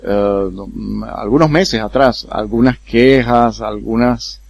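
A man's voice: mid-range voice, no room sound. Polite Dominican man interviewing, dry sound. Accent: Dominican Spanish. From Dominican Republic. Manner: polite, measured questioning. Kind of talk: radio interview.

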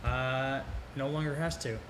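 A man co-hosting a casual sports and beer podcast, reading out beer news in a relaxed, rambling way with many fillers. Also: mid-range voice, a little slow. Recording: echoey room with background noise.

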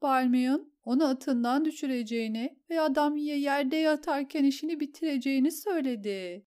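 A woman's voice: high-pitched, normal speed, a little mellow, pitched above the performer's own voice, close to an everyday voice. Woman voicing a sobbing, stammering noblewoman. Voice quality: trembling, stammering. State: crying.

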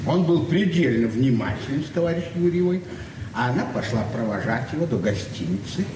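A man's voice: mid-range voice, lively intonation, brisk, close to an everyday voice. Actor as playful male colleague, mock-official delivery, radio drama, echoey room, distant mic. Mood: amused, earnest. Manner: mock-formal. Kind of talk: Soviet radio play acting. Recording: very noisy, echoey old radio recording.

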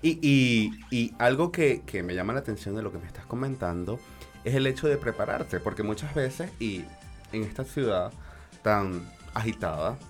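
A man's voice: lowish voice, animated, normal speed, faint music behind. A man hosting a live radio interview in a conversational way, often repeating and restarting his phrases. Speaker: radio show host.

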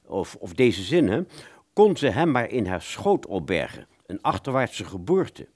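A man's voice: on the low side, big pitch swings, a little slow, a little mellow. An actor playing a courteous, bookish and slightly pompous male bookseller in a radio play, explaining things with some hesitation. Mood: earnestly explaining.

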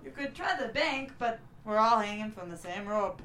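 An actress playing a kind, folksy Southern store clerk with homespun sayings at a screenplay table read. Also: female voice, mid-range voice, animated, moderate pace, a bit bright, echoey room, a little hiss or hum, natural voice. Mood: kindly, sympathetic.

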